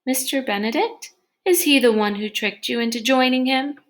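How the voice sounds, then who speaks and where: A woman's voice: sits high, normal speed, pitched above the performer's own voice, natural voice. A woman voicing a seductive mind-reading machine in a storybook, coaxing and insinuating.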